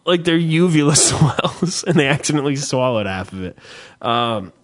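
A man's voice: mid-range voice, moderate pace. A man chatting casually, swearing freely and ranting, his voice climbing when he gets worked up. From New Jersey. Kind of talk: casual podcast chat.

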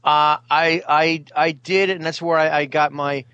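A man in his thirties, a radio sidekick chatting casually in a halting, stammering way. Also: fairly high pitch, little pitch movement, bright, forceful tone, smooth, dry recording, highs cut off. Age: 30s. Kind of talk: casual comic chat.

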